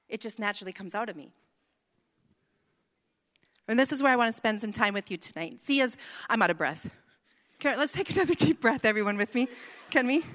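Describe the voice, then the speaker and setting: A woman's voice: a little high, a bit bright. Playful woman preaching in a hall. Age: adult with a son. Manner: playful storytelling, prayerful later. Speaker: women's ministry speaker. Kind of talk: sermon. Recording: echoey hall.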